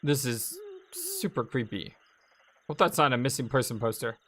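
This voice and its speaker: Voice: mid-range voice, huge pitch swings, moderate pace, fairly bright tone. A let's play host giving casual, chatty gameplay commentary and reading game text aloud, reacting as they go. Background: video game music.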